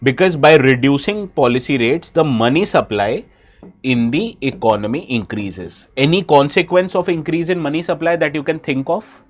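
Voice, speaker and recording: A man's voice: fairly high pitch, lively intonation, normal speed. A man with an Indian English accent, who has a bad cold, gives a live exam-prep lecture and keeps asking his students questions. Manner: asks students questions, switches briefly into Hindi.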